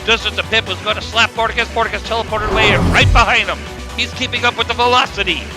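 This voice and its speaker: Over clear music, a man plays an excitable wrestling announcer with a high voice. He delivers colourful play-by-play for a cartoonish match. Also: fast, lightly acted. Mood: lively narration. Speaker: wrestling commentator. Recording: music behind the voice.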